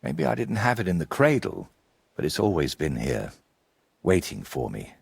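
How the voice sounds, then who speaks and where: A man playing an evasive, eccentric wandering painter in a medieval video game, with a husky, rough-edged voice and a theatrical, riddling way of talking. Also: low voice, huge pitch swings, moderate pace, heavily acted. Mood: playful, cryptic. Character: evasive eccentric male wandering painter, wry and world-weary.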